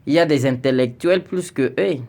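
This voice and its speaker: A man's voice: mid-range voice, normal speed, a little mellow. A young man from Congo speaking an earnest, pleading video message to his president, with stammered repetitions. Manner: earnest, pleading, rising into indignation with repeated stammered words. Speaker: citizen addressing the president.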